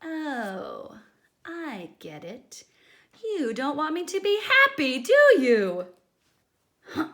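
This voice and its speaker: A woman's voice: high voice, big pitch swings, slow, long pauses, pushed and bright, heavily acted. A woman voicing a demanding, whiny pigeon given to theatrical outbursts in a children's storybook. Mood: indignant, pouting. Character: demanding, dramatic, tantrum-prone cartoon pigeon. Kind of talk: children's storybook read-aloud.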